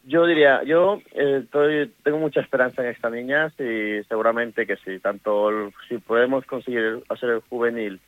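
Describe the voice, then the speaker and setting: A middle-aged man from Ecuador with an Ecuadorian Spanish accent, a women's football coach speaking in a casual interview, halting and full of restarts. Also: medium pitch, fairly even intonation, no room sound. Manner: halting, many restarts and fillers. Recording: tinny phone line.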